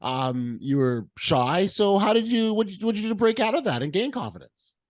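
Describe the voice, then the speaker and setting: A man hosting a podcast, asking questions in a halting way with many restarts. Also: high voice, sing-song, no echo, clean studio sound. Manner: halting, lots of restarts and fillers. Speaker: podcast host. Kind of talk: interview hosting.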